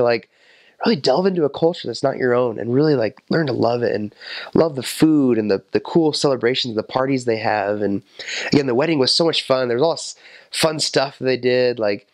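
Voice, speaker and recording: A young man in his twenties talks casually about his time as a missionary, with lively delivery and plenty of "um" and "you know". His voice sits at an ordinary middle pitch. The lapel-mic sound is close and dry, with no room echo. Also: fast talker. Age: 20s. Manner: animated, talks with his hands, many fillers. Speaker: returned missionary. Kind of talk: casual interview answers. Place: indoor office with window.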